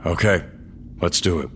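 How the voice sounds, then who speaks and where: An actor playing a world-weary, blunt old cyborg man with a wry, gruff manner in an audio drama. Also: deep voice, fairly bright tone, slight background noise, exaggerated voice. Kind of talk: audio drama dialogue and first-person narration.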